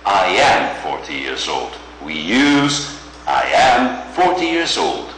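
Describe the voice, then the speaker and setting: Male language teacher, slow and deliberate, hugely varied intonation, echoey room. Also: deep voice, wildly swooping pitch. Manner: deliberate, drilling phrases. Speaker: English teacher. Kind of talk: slow classroom language instruction. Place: small classroom indoors. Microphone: clip-on lapel mic. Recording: echoey classroom, faint noise.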